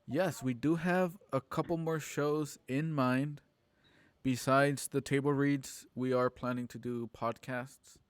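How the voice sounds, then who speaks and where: Clear-voiced man hosting a casual livestream. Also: mid-range voice, steady tone, no room sound. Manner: halting, informal. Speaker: host and organizer of an online radio drama show. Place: at home on a multistream video call.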